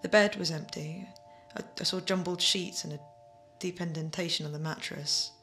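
An actress playing a haunted, obsessively loving daughter in an audio play, speaking with halting intensity and repeating her words. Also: on the low side, fairly even intonation, sharp tone, faint music behind. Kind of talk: dramatic monologue, audio play.

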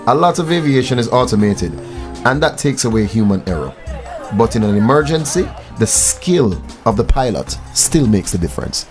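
A man's voice: medium pitch, sing-song, moderate pace, over music, a crowd in the background, natural voice. A man with a Jamaican accent playing an affable, earnest young airline pilot in a scripted radio drama. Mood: friendly, informative.

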